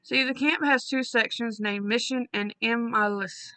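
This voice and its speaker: Woman story host, bright voice, dry close sound, reads casually with asides. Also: fairly high pitch, steady tone, a little slow, clean tone, no room sound, rough recording. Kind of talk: reading listener stories aloud with chatty asides.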